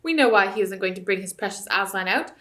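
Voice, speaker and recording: A woman voicing a scoffing male chief dwarf who heckles loudly. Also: medium pitch, expressive, fast talker. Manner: loud, scoffing.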